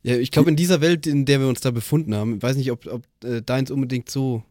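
A man's voice: mid-range voice, fairly even intonation, fast, a little mellow, no room sound, studio quality. A man co-hosting a podcast, chatting casually in slang. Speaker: podcast co-host. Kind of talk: casual chat.

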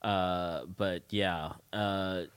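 A man with a fairly low voice, recorded very dry with no room sound. He hosts a relaxed, conversational interview. His delivery is halting as he thinks aloud and works toward his questions. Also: clean tone, no room sound. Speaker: radio show host interviewing a guest.

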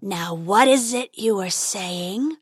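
A woman voicing a huge menacing male dragon, speaking slowly in a low growl. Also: slightly rough, no echo. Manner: slow, drawn-out growl.

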